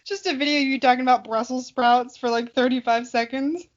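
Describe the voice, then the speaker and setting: A woman's voice: sits high, fairly even intonation, a little fast, highs cut off. A woman co-hosting a podcast with casual banter. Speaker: podcast co-host.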